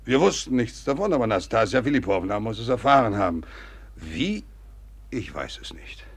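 A man's voice: lowish voice, big pitch swings, normal speed, husky, exaggerated voice. A man acting an older, fatherly Russian general in a German radio play, flustered, rambling and hesitant as he confides. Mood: agitated, confiding. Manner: rambling, hesitant, circling back with interjections.

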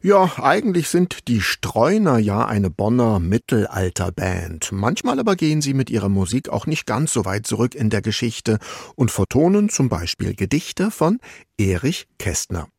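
A man's voice: mid-range voice, big pitch swings, normal speed, creaky, husky, no echo, studio quality. A man presenting a radio music programme in a scripted, narrating style. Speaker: radio music show host.

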